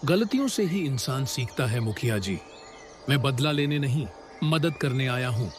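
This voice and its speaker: A man's voice: medium pitch, animated, moderate pace, slight background noise, close to an everyday voice. A man playing an honest, forgiving young village farmer in a cartoon moral story, speaking earnestly and righteously. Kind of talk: animated moral story acting.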